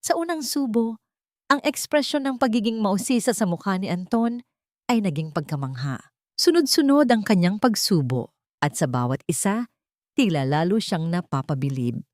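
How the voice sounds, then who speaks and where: A woman's voice: medium pitch, animated, moderate pace, darkish tone, no room sound, clean studio sound. A woman storyteller giving scripted, dramatic narration of a love story.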